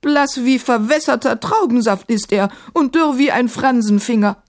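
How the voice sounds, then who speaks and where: An old royal grandmother from a storybook, voiced by a woman, sounds sharp-tongued and disdainful. Her pitch rides high as she mocks and scorns. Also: moderate pace, lightly acted. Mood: scornful. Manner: scornful, mocking.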